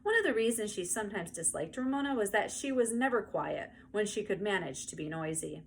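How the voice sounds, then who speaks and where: Female read-aloud narrator, medium pitch, expressive children's storybook style, faint background noise. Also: fairly quick.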